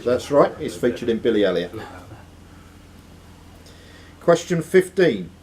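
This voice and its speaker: A man's voice: mid-range voice, sing-song, brisk, a little mellow, a little hiss or hum. A man reading quiz questions aloud as host of a live quiz. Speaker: quizmaster.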